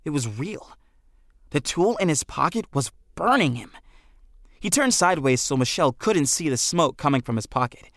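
A man's voice: on the high side, animated, moderate pace, dry recording. A man narrating a story expressively as an audiobook reader, close on a studio microphone.

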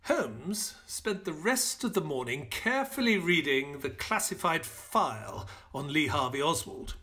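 A man playing a courteous, excitable gentleman sidekick who narrates an audio play in an expressive, polite way. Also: fairly high pitch, measured pace, exaggerated voice. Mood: measured storytelling. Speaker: actor and narrator.

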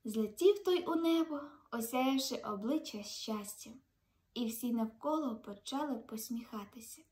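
High-pitched young woman reading a fairy tale. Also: a little slow, a little mellow. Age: young adult. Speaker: storyteller. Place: indoors in a room, seated.

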